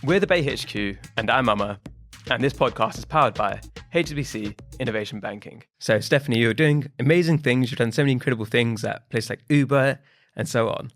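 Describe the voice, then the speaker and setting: Male podcast host, quick upbeat delivery, faint music underneath. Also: mid-range voice, fairly bright tone, no echo. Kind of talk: podcast intro and interview questions.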